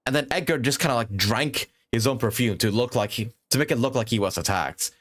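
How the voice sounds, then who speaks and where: A man's voice: medium pitch, brisk, a bit bright. A man live-streaming a game, reacting casually and thinking aloud in an informal, sweary way.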